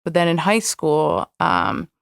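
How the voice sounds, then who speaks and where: A woman's voice: lowish voice, slow, vocal fry, clean tone, dry recording, clean studio sound. A young woman whose first language is Hebrew, a podcast guest talking candidly and reflectively about her past. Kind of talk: candid personal interview.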